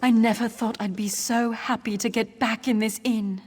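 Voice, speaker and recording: High-voiced weary village woman, reverberant. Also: fairly even intonation, normal speed, a little mellow, slightly rough. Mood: weary, worried. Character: injured female villager, weary, worried survivor. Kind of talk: fantasy video game voice acting. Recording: long reverb, music behind the voice.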